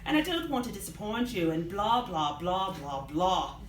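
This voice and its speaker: A woman's voice: fairly low pitch, expressive, normal speed, voice pushed up, close to an everyday voice. A woman reading the part of a jilted young bride in a novel, sarcastic and emphatic. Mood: mocking, outraged. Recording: roomy live reading, background noise.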